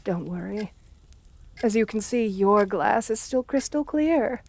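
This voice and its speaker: An assertive, seductive countess with an air of the vampire about her, played by a woman. Her voice sits at a medium pitch for a woman. She speaks intimately, as in an ASMR roleplay, flirtatious and soothing with genteel poise. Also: expressive, unhurried, vocal fry, darkish tone. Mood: genteel, coaxing.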